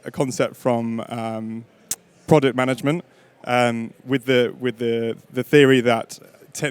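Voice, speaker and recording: Smooth-voiced man moderating a panel. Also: medium pitch, no room sound. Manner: hesitant, many fillers and restarts. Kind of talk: hosting a panel discussion.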